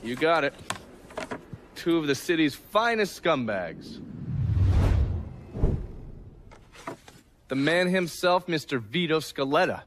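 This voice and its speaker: A man's voice: on the high side, big pitch swings, measured pace, background noise. An actor playing a foul-mouthed intelligence man in a video game, telling things in a wry, cynical way. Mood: dry, wry. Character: sardonic, foul-mouthed male intelligence man giving crime briefings. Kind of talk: video game cutscene acting.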